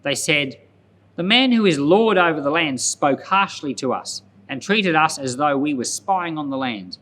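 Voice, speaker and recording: A man reading scripture aloud as a pastor, narrating in a deliberate, storytelling manner. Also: fairly high pitch, expressive, fairly bright tone.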